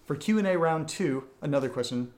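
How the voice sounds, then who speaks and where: A man's voice: fairly high pitch, expressive, a little fast, a little mellow. A man co-hosting a casual podcast Q&A, joking around and steering the chat back to the questions. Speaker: podcast host reading patron questions. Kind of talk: casual Q&A banter.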